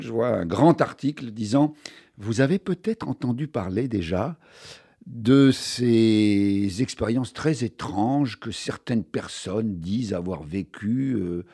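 An older man, a journalist and author, telling his story in an interview with lively emphasis and frequent restarts. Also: mid-range voice, husky. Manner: animated storyteller, many restarts, stressed key words. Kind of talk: conversational interview.

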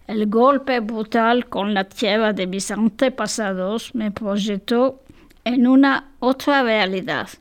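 Woman, slow careful reading, fairly high voice, occasional restarts. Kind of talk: translated reading of a literary text.

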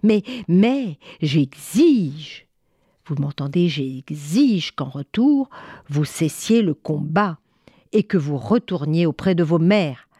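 A woman's voice: low voice, lively intonation, a little slow, dark tone, no echo, studio quality. A woman storyteller voicing a protective, stubborn peasant mother, evasive and firm in manner. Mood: stern, demanding.

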